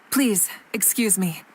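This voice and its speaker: A woman voicing a dignified, commanding leader in a video game, speaking in a composed, formal, measured manner. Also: mid-range voice, a bit bright, slight background noise.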